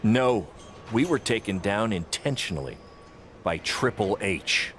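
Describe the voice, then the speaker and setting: An actor playing an excitable, fast-talking wrestling promoter with a salesman's manner in a video game cutscene. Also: lowish voice. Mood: apologetic. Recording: clear background noise.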